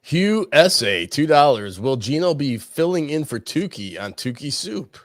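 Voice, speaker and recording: A man's voice: on the high side, big pitch swings, unhurried, no room sound, studio quality. A man co-hosting a live stream, reading out super chats with short, agreeable replies.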